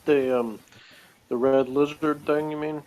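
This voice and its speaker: A man's voice: a little high, steady tone, a little slow, a little mellow. A man co-hosting a casual review chat, giving his reactions in an everyday conversational way. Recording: clear background room noise.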